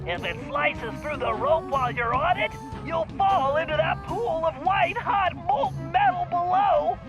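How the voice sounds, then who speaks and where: An actor playing a nerdy, earnest cartoon turtle mastermind in a video game, nasal and anxious, with precise, wordy delivery. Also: male voice, extremely high pitch, lively intonation, normal speed, highs cut off, music in the background. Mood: earnest, commanding. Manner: precise, wordy, fussy briefing.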